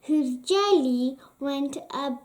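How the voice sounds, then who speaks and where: A woman reading a children's story aloud in a deliberate, carefully phrased way with frequent pauses. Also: high-pitched, a little mellow. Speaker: children's storybook reader, channel host.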